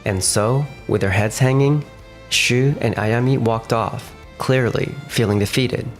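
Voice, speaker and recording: A man voicing a calm, firm young manager. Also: medium pitch, unhurried, vocal fry. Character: calm, businesslike young male realty manager. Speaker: narrator and lead voice actor. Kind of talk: fan manga dub. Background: faint music.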